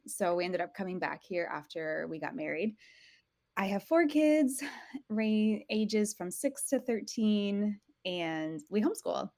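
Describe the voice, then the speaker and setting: A woman's voice: mid-range voice, animated, measured pace, darkish tone, no echo. Chatty woman in her thirties, dry sound. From Portugal. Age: 30s. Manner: chatty, self-correcting, laughs easily. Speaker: podcast guest. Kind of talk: casual interview chat.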